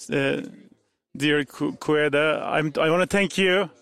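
A man with a Turkish accent, a native Turkish speaker, speaking haltingly from the audience and repeating himself. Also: fairly high pitch, gravelly, fairly bright tone, clean tone, no room sound. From Turkey. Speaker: audience member. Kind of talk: addressing a speaker from the floor.

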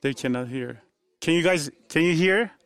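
A man with a Turkish accent, a native Turkish speaker, speaking haltingly from the audience and repeating himself. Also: on the high side, a bit bright, clean tone, no echo. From Turkey. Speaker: audience member. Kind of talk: addressing a speaker from the floor.

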